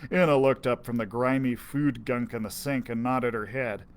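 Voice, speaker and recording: A man's voice: medium pitch, steady tone, moderate pace. A man reading a children's adventure story aloud as a storyteller. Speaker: library storyteller.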